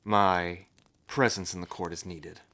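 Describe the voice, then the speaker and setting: A man voicing a measured, cautioning dragon lieutenant in an audio roleplay. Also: low voice, fairly even intonation, sharp tone, exaggerated voice. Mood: cautionary. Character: calm old male dragon lieutenant, wry teacher. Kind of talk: ASMR roleplay.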